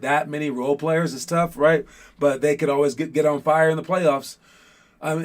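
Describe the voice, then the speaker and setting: A man hosting a basketball show as casual chat with viewers, talking in an informal, rambling way with playful teasing. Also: fairly high pitch.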